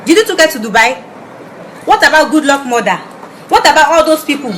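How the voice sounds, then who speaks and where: A woman partly of Nigerian background, not a native English speaker, ranting bluntly to camera in halting English with many restarts. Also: high-pitched, animated, fairly bright tone, slight background noise. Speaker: vlogger. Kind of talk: direct-to-camera rant. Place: Ivory Coast.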